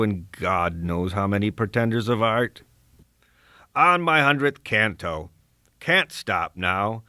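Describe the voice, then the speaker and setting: A man's voice: on the low side, fairly bright tone, clear, resonant tone, dry recording, clean studio sound. A man playing an embittered, defiant old poet locked away, delivered as a combative, declamatory dramatic soliloquy. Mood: grim, scornful. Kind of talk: dramatic first-person soliloquy.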